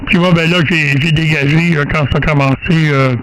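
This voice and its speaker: An older man with a Québécois French accent chats casually over amateur radio in a halting, rambling way with drawn-out pauses. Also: on the high side, fairly even intonation, fairly bright tone, poor audio. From Quebec, Canada. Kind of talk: casual radio contact chat. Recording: amateur radio audio with faint noise.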